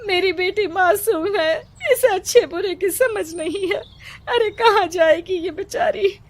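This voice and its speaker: A woman's voice: very high voice, slow, pitched above the performer's own voice. A woman playing a desperate village mother in a cartoon moral story, pleading tearfully as she cries. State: crying.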